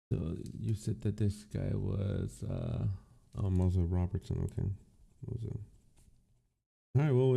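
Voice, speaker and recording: A man's voice: deep voice, creaky, mellow. A man hosting a casual interview, asking questions hesitantly with many fillers. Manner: hesitant, lots of uh and let me see. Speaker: host.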